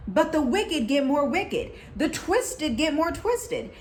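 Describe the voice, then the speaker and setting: Animated, preachy American woman co-host. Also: high-pitched, slow pace, a little hiss or hum. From United States. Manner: animated, preachy, quotes people in put-on voices. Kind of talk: casual chat with scripture references.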